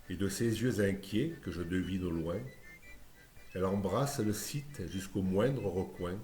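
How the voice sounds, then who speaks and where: A man reciting a scripted poem in a lyrical, measured way, phrase by phrase. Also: sits low, darkish tone. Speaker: poetry reciter. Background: music.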